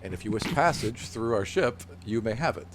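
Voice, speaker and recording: A man voicing a wary, stern female leader of forest soldiers in a formal, measured, guarded way for a tabletop game. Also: mid-range voice, lively intonation, noisy background, lightly acted.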